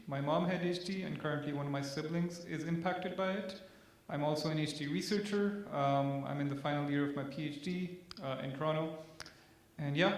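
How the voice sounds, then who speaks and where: A man from Pakistan, a researcher, introducing himself on a panel in a relaxed, direct way. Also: on the high side. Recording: very echoey hall.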